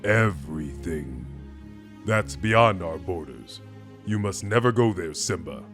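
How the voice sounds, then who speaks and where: A man voicing a wise, solemn lion king, a patient father with a stately, measured, instructive manner. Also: low voice, animated, fairly bright tone, soft background music, exaggerated voice. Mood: solemn, fatherly. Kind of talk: animated film character demo.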